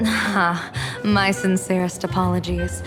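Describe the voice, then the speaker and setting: An enigmatic female fortune-teller and general from a video game. She talks slowly and theatrically, musing with deliberate pauses and a playful edge. Music plays clearly behind her. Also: on the low side, level tone. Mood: intrigued. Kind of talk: English video game voice acting. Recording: music under the voice.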